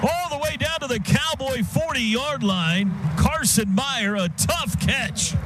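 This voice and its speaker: A man's voice: very high-pitched, slow. An American man calling live football play-by-play on the radio in clipped, vivid phrases. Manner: clipped, vivid phrases that build to excited calls. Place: stadium radio booth. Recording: loud stadium noise behind broadcast.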